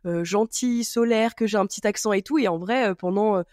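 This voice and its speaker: A woman's voice: mid-range voice, fairly quick, a little mellow, dry recording, studio quality. A woman hosting a podcast, chatting casually and rambling with many fillers and restarts. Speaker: podcast host. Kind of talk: casual chat.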